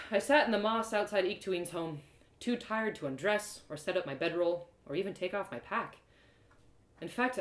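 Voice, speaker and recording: Low-voiced woman storyteller in echoey room. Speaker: storyteller, author reading live. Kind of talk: expressive first-person fantasy story reading. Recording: roomy echo.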